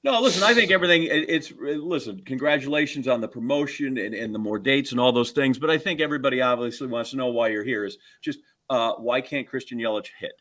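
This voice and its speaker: Male co-host, medium-pitched, rambling and jokey in casual podcast banter. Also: fast, hardly any pauses, a bit bright. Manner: joking, rambling.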